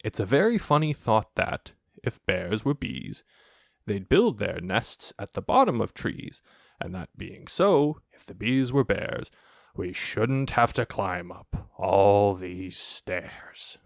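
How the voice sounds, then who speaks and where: A man voicing a slow-thinking, earnest toy bear in a children's story, reasoning aloud in a ponderous, repetitive way. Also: on the low side, big pitch swings, vocal fry, no echo, clean studio sound, exaggerated voice. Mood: musing.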